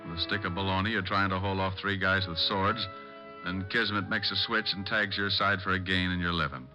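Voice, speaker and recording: An actor playing a breezy, wisecracking American private detective who narrates in wry, slangy 1940s radio style. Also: male voice, low voice, fairly even intonation, fairly quick, lo-fi sound, over music, natural voice. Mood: wry narrating.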